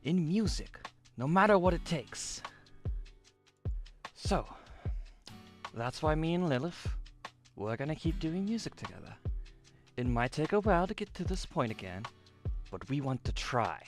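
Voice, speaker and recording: An actress voices an ambitious young woman musician, bitter yet loyal, in a visual novel. Her delivery is emotive and sardonic with a wide, lively pitch range, and music plays behind the voice. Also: measured pace, long pauses. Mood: earnest, bitter.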